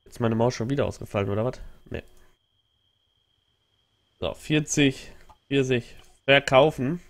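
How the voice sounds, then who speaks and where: A man's voice: medium pitch, unhurried. A young man with a stuffy nose from a cold, rambling casually and thinking aloud while he streams a game on a headset mic at home. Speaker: livestreaming gamer. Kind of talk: casual let's play commentary. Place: home gaming setup indoors.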